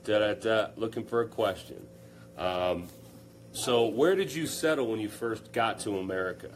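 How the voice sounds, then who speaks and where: A man's voice: fairly low pitch, normal speed. A young man hosting a casual live Q&A, upbeat and joking as he reads out viewer comments. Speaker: live stream host.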